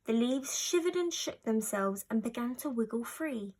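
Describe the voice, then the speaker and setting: A woman narrating a children's story from a script in an expressive storytelling style. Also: fairly high pitch, moderate pace. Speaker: storybook reader.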